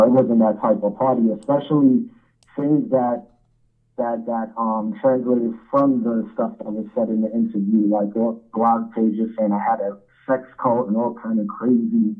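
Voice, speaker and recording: A man with a New York accent and a husky, monotone voice, speaking casually as a phone interview guest over a muffled line. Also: on the low side, normal speed. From Brooklyn, New York. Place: at home on a phone. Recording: muffled phone line, faint music behind.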